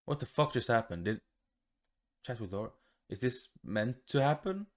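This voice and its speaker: A man's voice: medium pitch, expressive, normal speed. A man giving casual, rambling let's play commentary, blunt and given to swearing. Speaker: gaming video host. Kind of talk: casual let's play commentary, reading game text aloud.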